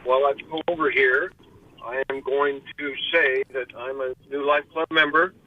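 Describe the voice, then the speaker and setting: A man's voice: medium pitch, fairly even intonation, normal speed, slight background noise. A man in his late sixties calling in by phone from a pulled-over car, talking in a rambling, earnest and candid way. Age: 60s. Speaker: caller. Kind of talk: call-in radio chat. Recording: dry tinny phone line from a car.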